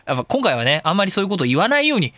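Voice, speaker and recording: A man's voice: sits high, big pitch swings, very fast, bright, forceful tone, no room sound. A man hosting a casual comedic radio talk show, rambling and self-mocking and jumping into bits and catchphrases.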